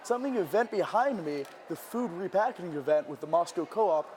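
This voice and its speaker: Young male news reporter, higher-pitched and lively. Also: fairly quick, slight background noise. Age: young adult. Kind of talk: scripted news narration and stand-up reporting.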